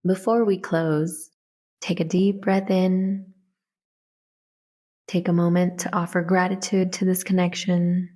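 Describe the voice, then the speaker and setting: A woman leading a guided meditation in a soothing, gentle, instructional way. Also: on the low side, flat delivery, takes it slowly, long pauses.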